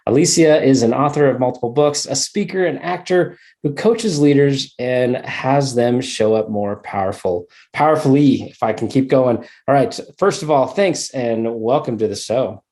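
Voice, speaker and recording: A man's voice: medium pitch, moderate pace, hardly any pauses, darkish tone. A man co-hosting a podcast, introducing a guest with stumbling asides and asking questions. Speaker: podcast co-host. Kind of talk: podcast interview.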